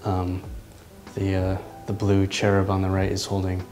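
Man, thirties, low flat voice, hesitant and reflective, echoey studio. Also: soft background music. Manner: thoughtful, halting, many ums and restarts. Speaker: artist. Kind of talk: reflective interview talk. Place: large indoor art studio.

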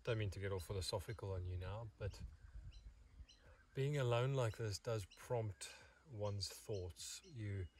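A man in his thirties talking casually and haltingly to camera as a solo vlogger, in an unhurried way. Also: lowish voice, darkish tone. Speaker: vlog host. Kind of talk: casual solo camping vlog. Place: outdoors in an open valley, partly inside a car. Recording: far from the mic, outdoor ambient noise.

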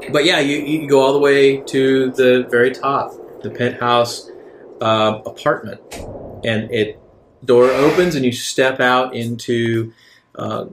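A man narrating a tabletop game as game master, improvising descriptions in a halting way. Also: mid-range voice, a little hiss or hum.

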